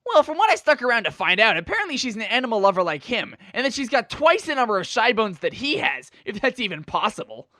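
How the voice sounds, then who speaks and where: A woman's voice: fairly high pitch, very animated, fast, hardly any pauses, bright, forceful tone, no echo, clean studio sound. A woman voicing a brash, boastful young male pegasus pony in a fan-fiction audio story, with casual, slangy speech. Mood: casual, musing.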